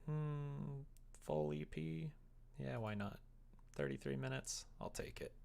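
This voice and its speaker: Male streamer, wry and casual, talking himself through work at a slow pace with heavy fry. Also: medium pitch, sing-song, darkish tone. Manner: wry, muttering to self while working. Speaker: live streamer developing a visual novel. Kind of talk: casual coding livestream chat, thinking aloud.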